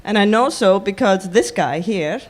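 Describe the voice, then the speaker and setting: A woman from Iceland with an Icelandic accent, giving a conference talk in a halting way with many ums and restarts. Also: medium pitch, animated. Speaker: conference speaker.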